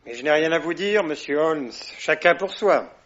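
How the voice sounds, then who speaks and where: An actor playing a brusque, unfriendly young police inspector who answers curtly and grudgingly. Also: male voice, fairly high pitch, lively intonation, normal speed, fairly bright tone. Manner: curt, grudging. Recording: dull vintage radio recording.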